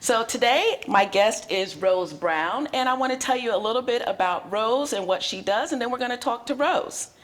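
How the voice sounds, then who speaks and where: A woman's voice: a little high, lively intonation, normal speed, hardly any pauses. A woman hosting a talk show, bubbly and enthusiastic as she chats with her guest. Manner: bubbly, enthusiastic, laughs often. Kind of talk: upbeat interview chat.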